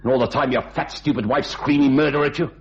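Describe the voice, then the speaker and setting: A man's voice: medium pitch, fairly even intonation, fast, a little hiss or hum, natural voice. A man playing a cynical, shifty hotel clerk in an old-time radio thriller, hardboiled and dismissive. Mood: scornful, nervous. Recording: dull vintage radio recording, music behind.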